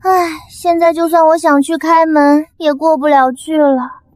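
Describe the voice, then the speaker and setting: An actress playing an anxious, loyal young woman in an animated drama. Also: high voice, measured pace, dry recording. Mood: determined. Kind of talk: Chinese animated drama dubbing.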